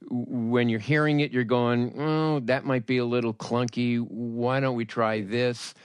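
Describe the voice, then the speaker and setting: A man's voice: mid-range voice, steady tone, a little slow, clear, resonant tone, dry recording. A man hosting a podcast interview in a conversational style.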